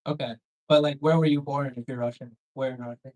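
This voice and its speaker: A man's voice: mid-range voice, steady tone, brisk, darkish tone, rough recording. A Canadian man performing stand-up in a comedy club, rambling and conversational, with sardonic asides, swearing and crowd work.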